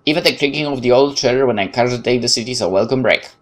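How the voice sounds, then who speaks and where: A male game streamer with a Polish accent reads visual novel narration aloud. His reading is expressive, with an occasional stumble and brief commentary. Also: mid-range voice, quick pace.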